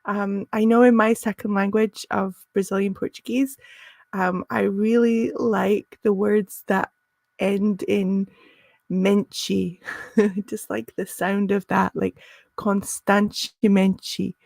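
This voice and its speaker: A woman's voice: mid-range voice, level tone, slow pace, creaky, a little mellow, no room sound. A woman teaching a live business English lesson, friendly and encouraging, with frequent "um" and "uh" fillers.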